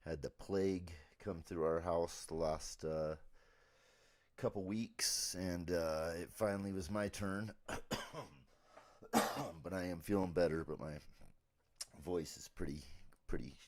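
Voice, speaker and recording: Deep raspy guy streaming, kinda hoarse, relaxed and rambly, dark tone. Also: unhurried, pauses often. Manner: relaxed, rambling with many fillers. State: raspy throat from illness. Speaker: live streamer demoing gear. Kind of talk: casual live stream walkthrough.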